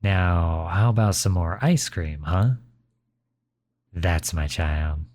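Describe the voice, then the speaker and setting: A man plays a kind, reassuring father in an ASMR roleplay, comforting his child. The voice sits low, with a dark, relaxed tone. He speaks slowly and softly, with gentle encouragement. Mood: playful, fond. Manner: soft, soothing, unhurried encouragement.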